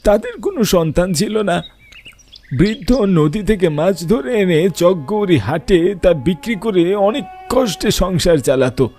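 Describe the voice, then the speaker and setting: A man playing a solemn village market watchman who gravely recounts a legend in a horror cartoon. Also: high-pitched, mellow. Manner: grave, explanatory.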